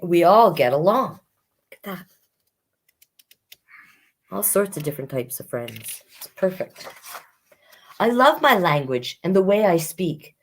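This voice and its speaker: Lower-voiced woman reading picture books aloud. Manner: expressive sing-song reading, chatty asides to young listeners. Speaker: children's storytime host.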